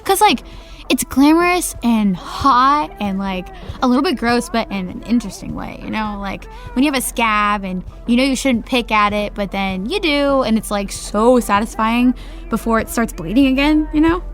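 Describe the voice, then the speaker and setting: A woman's voice: fairly high pitch, animated, normal speed, soft background music, close to an everyday voice. An actress playing a bubbly, shallow young woman who talks in valley-girl chatter. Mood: chatty.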